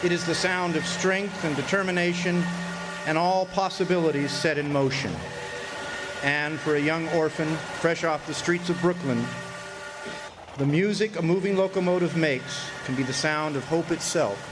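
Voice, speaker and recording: Man acting a higher-pitched, reminiscing former orphan. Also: normal speed, background noise, natural voice. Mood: reflective. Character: grown former orphan recalling his boyhood journey. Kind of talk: radio play narration, reminiscing.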